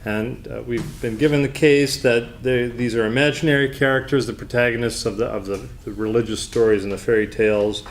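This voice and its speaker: A man delivering an off-the-cuff debate speech as opposition leader, formal and deliberate, with halting phrasing. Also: mid-range voice, fairly even intonation. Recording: background noise, live room.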